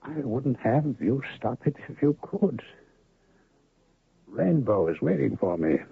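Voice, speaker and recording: An actor playing an honest, weary gambler who is a doctor, in an old radio drama, speaking calmly and drily with a gravelly voice. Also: male voice, mid-range voice, slow, exaggerated voice. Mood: dying. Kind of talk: 1970s radio drama acting. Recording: tinny lo-fi old radio broadcast.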